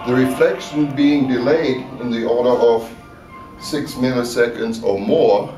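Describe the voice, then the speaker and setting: A man giving a measured technical explanation in an interview, checking that he is understood. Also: mid-range voice, dark tone. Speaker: loudspeaker designer. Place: exhibit room at an audio show. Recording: echoey room, distant mic, music behind.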